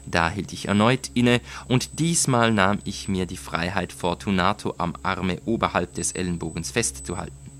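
Male audiobook narrator: low voice, measured and deliberate literary storytelling, music underneath. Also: a bit bright, a little hiss or hum.

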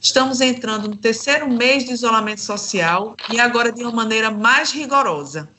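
A woman's voice: a little high, brisk, bright, forceful tone, slight background noise. A Brazilian woman presenting and interviewing as a radio host, in a scripted presenting style.

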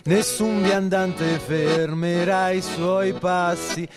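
A man's voice: sits high, fairly even intonation, very slow. A man singing a narrative ballad as part of a theatre piece. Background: loud live accordion music.

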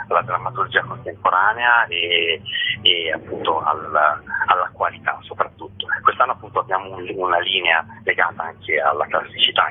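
A man's low, very husky voice comes through a narrow, muffled phone-like line. He speaks as an interview guest, explaining things in a hesitant way and often searching for the right word. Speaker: festival artistic director, interview guest.

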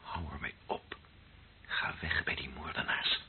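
Troubled priest in an old radio play, deep voice, halting and pleading, tinny with hiss. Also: male voice, steady tone, in a whisper. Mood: horrified. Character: earnest, troubled clergyman, pleading with lost love. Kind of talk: radio drama acting. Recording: narrow phone-like band, hissy old recording.

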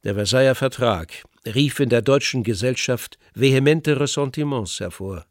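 A man narrating a scripted radio documentary in a measured, articulate, essayistic way. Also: mid-range voice, no room sound, clean studio sound.

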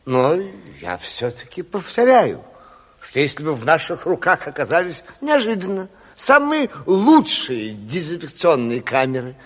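An actor playing a flustered, long-winded educated health official, hesitant and pleading, in a Soviet radio play. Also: male voice, on the high side, wildly swooping pitch, unhurried, heavily acted. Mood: grave, alarmed. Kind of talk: 1970s Soviet radio drama acting. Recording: reverberant hall, old radio play recording.

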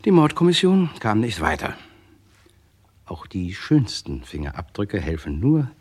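Low, husky crime-story narrator. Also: male voice, wildly swooping pitch, unhurried, full character voice. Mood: dry, matter-of-fact. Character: dry, wry male narrator of a crime case. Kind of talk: first-person crime radio play narration.

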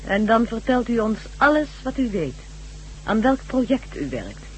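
High, swooping commanding doctor. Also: female voice, sing-song, moderate pace, a little mellow. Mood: commanding, hypnotic. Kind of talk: Dutch science-fiction radio drama acting. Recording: noisy, humming old radio recording.

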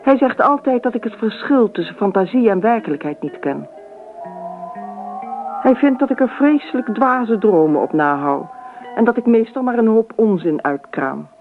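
An actress playing a dreamy, imaginative, talkative wife in a radio drama, with expressive theatrical delivery. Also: female voice, on the high side, moderate pace, faint music behind. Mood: recounting, indignant. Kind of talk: radio drama monologue and dialogue. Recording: tinny, narrow old radio recording.

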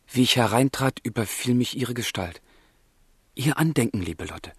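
An actor playing a melancholy, sensitive young gentleman in a literary radio drama, with a husky, gravelly voice and a fervent, brooding delivery. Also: mid-range voice, expressive, normal speed, dry recording, studio quality. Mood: brooding, anguished.

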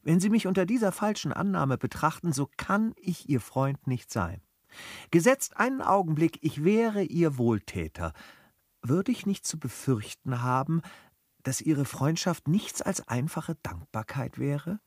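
An actor playing a modest, reserved gentleman traveller in a German radio play, polite, measured and gently ironic. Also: fairly high pitch, sing-song, no room sound, clean studio sound, full character voice. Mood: courteous, principled.

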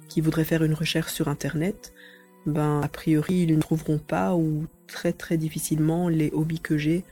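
A woman's voice: low-pitched, dark tone. A woman answering as an interview guest in a conversational way.

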